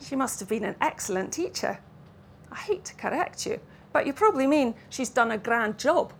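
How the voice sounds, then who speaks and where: A woman's voice: on the high side, moderate pace, a little hiss or hum, natural voice. A woman reading the lines of a playful young Russian man who teases the new governess. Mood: teasing. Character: teasing young Russian man, playful, with a Scots-tinged accent.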